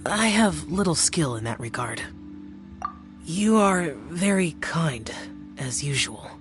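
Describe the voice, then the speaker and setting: An actor playing a solitary, courteous young warrior in a video game, humble and soft-spoken, with a formal, measured manner. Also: male voice, fairly high pitch, animated, music in the background. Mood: humble, gentle.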